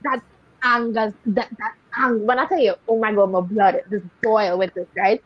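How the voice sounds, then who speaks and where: A woman from Trinidad with a Trinidadian accent, telling stories in a lively, exclamatory way in casual podcast chat. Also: medium pitch, moderate pace, dry recording. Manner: animated, exclamatory storytelling. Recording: dull lo-fi sound.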